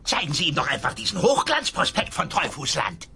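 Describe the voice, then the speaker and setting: A man playing a pushy, smug, sly dinosaur boss in a comedy audio play, with a gravelly voice. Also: on the high side, huge pitch swings, a little fast, pushed and bright, slight background noise, full character voice. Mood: sly, gleeful. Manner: bossy, smug.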